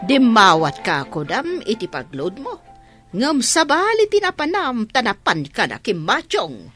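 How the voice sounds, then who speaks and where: A woman's voice: fairly high pitch, huge pitch swings, a little slow, a bit bright, soft background music, full character voice. An actress playing a scolding, exasperated older mother of a grown gambler, in a radio drama.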